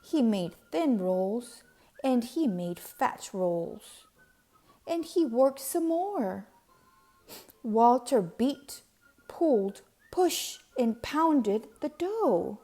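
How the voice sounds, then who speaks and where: A woman reading a children's storybook aloud with expressive, deliberate emphasis on key words. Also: fairly high pitch. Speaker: storybook reader.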